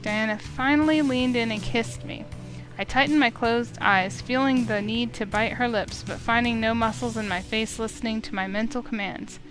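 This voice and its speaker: A woman reading a visual novel aloud as an expressive, dramatic narrator. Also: fairly high pitch, moderate pace, rough recording. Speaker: let's play streamer. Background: music in the background.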